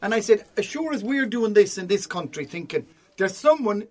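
A man with an Irish accent, a comedian guest in casual chat, expressive and chatty, swearing casually. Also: high voice, moderate pace.

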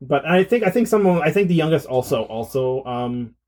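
A man's voice: on the high side, lively intonation, fairly quick, a little mellow. A man co-hosting a podcast in casual film discussion, conversational and thinking aloud as he goes. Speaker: podcast co-host.

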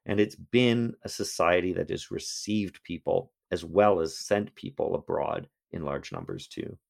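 A man's voice: sits low, animated, measured pace, creaky. A man, a history professor, answering interview questions in a thoughtful way with frequent restarts.

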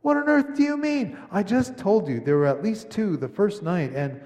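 A man's voice: sing-song, slow, darkish tone, heavily acted. A man putting on a startled young boy. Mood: urgent. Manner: high, urgent questioning. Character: frightened, puzzled young boy. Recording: long reverb of a large hall.

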